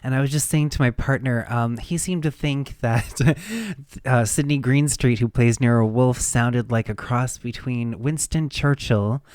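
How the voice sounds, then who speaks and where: A man presents a radio show informally. His voice is mid-pitched and conversational. It is recorded dry, with no room sound. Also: fairly even intonation, unhurried, darkish tone, smooth, dry recording. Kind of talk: casual radio hosting.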